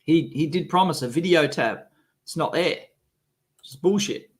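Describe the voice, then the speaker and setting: A man with a cold and a rough, sick-sounding voice, hosting a casual live stream and grumbling with exasperation. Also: a little high, a little slow. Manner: grumbling, exasperated, swears freely. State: has a cold, coughing. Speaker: electronics video host.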